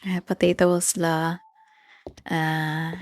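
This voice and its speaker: A person giving casual gameplay commentary in a hesitant, halting way, often searching for words. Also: mid-range voice, flat delivery, no room sound. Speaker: gamer narrating own Minecraft build tour. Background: background music.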